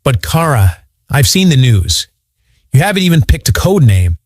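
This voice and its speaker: A man acts as a young superhero in an audio drama. His voice is expressive and dry in sound, with a caring, casual, earnest manner. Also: mid-range voice, normal speed, slightly rough, no echo. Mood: concerned. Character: young male superhero, friendly, concerned, earnest.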